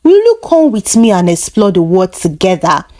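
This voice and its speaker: A woman's voice: mid-range voice, sing-song, moderate pace, warm tone, close to an everyday voice. A woman voicing a handsome male traveller who speaks with earnest, romantic admiration in a folktale. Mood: tender admiration. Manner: earnest, courting.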